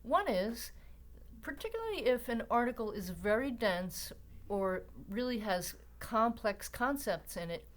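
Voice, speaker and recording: A woman narrating an instructional screencast in a deliberate, explanatory way with emphatic pauses. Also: mid-range voice. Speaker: library tutorial presenter.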